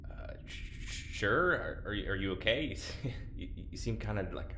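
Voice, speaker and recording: An actor as a gentle, awkward man in an audio drama. He stammers his way toward honesty in a slightly low voice. The sound is echoey with faint noise. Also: expressive, unhurried. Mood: awkward, concerned. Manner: hesitant, stammering. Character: awkward, gentle, candid man, reluctant confidant.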